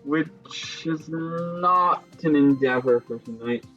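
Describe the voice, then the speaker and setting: Unhurried young man from Chicago musing. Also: a little high, fairly bright tone, lo-fi sound, faint music behind. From Chicago, Illinois. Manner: musing, chats with viewers between pauses. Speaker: streamer. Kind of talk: casual live-stream chat while gaming.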